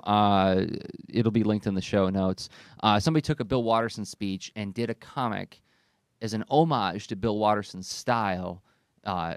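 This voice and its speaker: A man's voice: fairly low pitch, dry recording. A man, hosting a conversational podcast discussion into a close studio microphone. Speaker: podcast host. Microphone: close studio microphone on an arm, with headphones.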